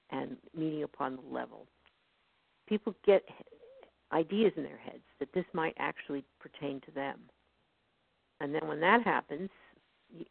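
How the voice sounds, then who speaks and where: Female academic guest, measured scholarly delivery, creaky timbre, phone-quality dry audio. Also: sits low, dry recording. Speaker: history professor guest. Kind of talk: online radio interview. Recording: tinny phone line, dry.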